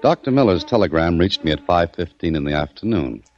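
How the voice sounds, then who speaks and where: Hard-boiled radio adventurer, low voice that moves around a lot, dry wit, clipped. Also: male voice, expressive, brisk, darkish tone, faint music behind. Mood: narrating, brisk. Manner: sardonic, clipped. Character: wry, skeptical male adventurer, brisk and hard-boiled. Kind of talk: old-time radio drama acting and narration. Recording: dull, dry vintage radio recording.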